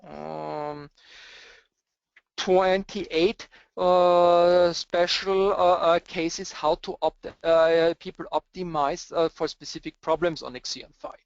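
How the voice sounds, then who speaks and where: Austrian man, hesitant presenter, slightly high voice, dry lo-fi webinar sound. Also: fairly even intonation, slow, creaky, smooth, no room sound. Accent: Austrian German. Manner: hesitant, many filler sounds. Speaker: presenter, cluster architect. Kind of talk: webinar Q&A answers. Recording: dull lo-fi webinar audio, dry.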